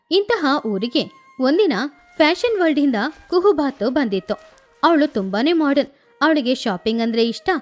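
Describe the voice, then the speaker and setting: Woman, children's story narrator, high pitch, animated delivery, light background music.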